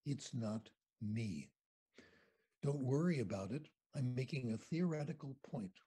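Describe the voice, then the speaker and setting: An older American man, a guest on a video call interview, telling anecdotes in an animated, conversational way from a home room. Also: mid-range voice, a little slow, breathy edge. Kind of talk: storytelling lecture on a video call. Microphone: video call webcam.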